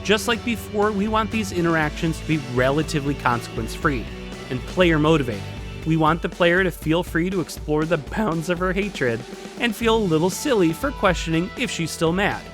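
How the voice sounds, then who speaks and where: Animated higher-voiced man presenting an explainer. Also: moderate pace. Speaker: video essay presenter teaching game writing. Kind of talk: scripted explainer narration. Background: background music.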